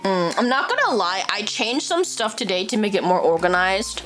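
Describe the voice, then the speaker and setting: Animated young woman streamer, lively pitch. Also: normal speed. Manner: animated, exclaiming, swearing, laughing often. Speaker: VTuber streamer. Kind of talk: casual live-stream reading and chat. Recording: faint music behind.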